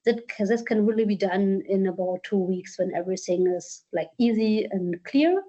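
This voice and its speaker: A woman with a mellow, dark voice answers questions at a workshop. She talks in a halting way, full of fillers and restarts. The sound is dull and lo-fi. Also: mid-range voice. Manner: hesitant, many fillers and restarts. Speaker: presenter from a culture collection. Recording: dull lo-fi conference audio.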